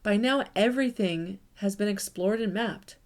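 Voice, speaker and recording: Camilla, a gentle mapmaking forest creature voiced by a woman. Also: mid-range voice, moderate pace, natural voice. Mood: wistful. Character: curious gentle female forest animal mapmaker.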